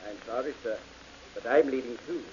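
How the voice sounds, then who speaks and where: An actor playing a politely formal butler in an old radio drama. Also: male voice, medium pitch, brisk, close to an everyday voice. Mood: cool. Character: cool, formal male butler. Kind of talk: 1940s radio acting. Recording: dull old radio broadcast with hiss.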